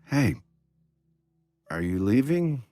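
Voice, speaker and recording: An actor playing a curt, aloof young heir in a game cutscene, with a clipped manner. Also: male voice, mid-range voice, big pitch swings, slow pace, mellow, no room sound. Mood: hopeful. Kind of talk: video game cutscene acting. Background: music.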